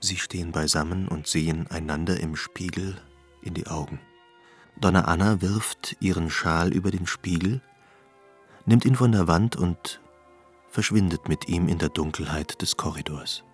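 A man giving scripted literary narration for a radio drama in a measured, suspenseful way. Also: low-pitched, soft background music.

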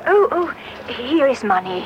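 Loud traffic noise surrounds a polite female tourist in a radio play. She speaks slowly and deliberately in a fairly high voice, grateful and slightly hesitant. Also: very animated, highs cut off. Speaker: actor. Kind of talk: radio drama acting. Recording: very noisy radio recording, traffic behind.

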